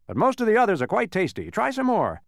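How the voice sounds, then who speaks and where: A man's voice: high-pitched, huge pitch swings, fast talker, gravelly, no room sound, studio quality, voice pushed up, heavily acted. A man voicing a confiding, enthusiastic market seller in a storybook. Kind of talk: animated storybook character reading.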